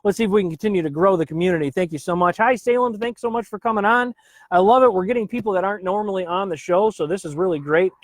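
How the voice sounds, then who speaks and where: A man's voice: high voice, fast, no echo. Upbeat man hosting a stream. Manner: upbeat, greets viewers by name, chatty with filler uhs. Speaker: live stream host. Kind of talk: casual live stream chat.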